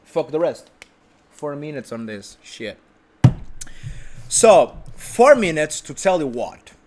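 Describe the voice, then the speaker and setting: A confident man talks straight to camera from his sofa at home, brash and sure of himself. His voice sits a little high and swings widely in pitch as he rambles, restarting sentences and tossing in the occasional swear word. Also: sing-song, takes it slowly, background noise. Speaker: vlog host. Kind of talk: unedited casual monologue.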